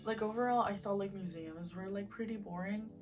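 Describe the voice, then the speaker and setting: A woman's voice: medium pitch, fairly quick, darkish tone. Young woman, loose filler-heavy talk. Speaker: interviewee. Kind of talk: casual recorded interview. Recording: distant mic with music bed.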